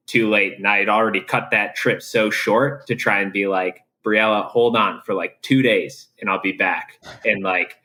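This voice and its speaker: Man, young adult, lowish voice, bright and forceful tone, relaxed guest, lots of fillers. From USA. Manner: relaxed, many "like" fillers, candid. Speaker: guest, professional triathlete. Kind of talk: casual interview chat.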